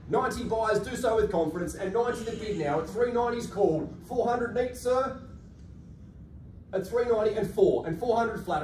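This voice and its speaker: High-pitched Australian male auctioneer, echoey room. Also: darkish tone. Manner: projected, polished salesman patter. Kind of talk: live property auction calling. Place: large carpeted indoor function room. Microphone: far camera across the room. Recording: echoey room, distant mic, faint noise.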